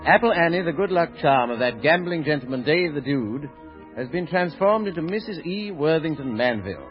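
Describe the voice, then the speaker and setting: A man's voice: fairly high pitch, expressive, fairly quick. A man narrating a scripted 1930s radio drama as its presenter. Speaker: narrator and guest producer. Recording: muffled old radio recording. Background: music.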